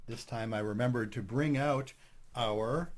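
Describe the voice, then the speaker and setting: An older man hosting a couples therapy podcast, talking in a relaxed, chatty way with light self-deprecating humor. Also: mid-range voice, measured pace, noisy background. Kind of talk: casual podcast chat.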